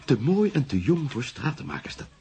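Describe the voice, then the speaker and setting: A man's voice: mid-range voice, sing-song, brisk, vocal fry, warm tone, slight background noise. An actor playing a small, nervous, secretive alderman in a radio play, polite and fearful, speaking in hesitant, agitated phrases.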